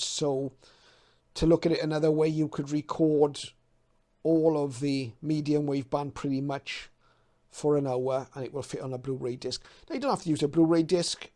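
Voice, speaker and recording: Higher-pitched middle-aged man explaining casually. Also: darkish tone. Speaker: host of a shortwave radio hobby channel. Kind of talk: casual explanatory talk to camera. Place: small cluttered home radio room.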